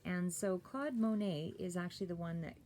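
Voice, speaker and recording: A woman doing a casual, rambling tarot reading, a little hoarse and clearing her throat, with many fillers and restarts. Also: on the low side, moderate pace, warm tone, slight background noise. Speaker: tarot reader.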